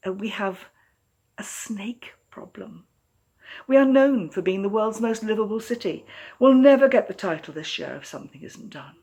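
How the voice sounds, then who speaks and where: A woman's voice: medium pitch, expressive, moderate pace, warm tone, pitched above the performer's own voice. A woman voicing a nervous female city mayor in a storybook tale, speaking formally and urgently. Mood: nervous, hushed.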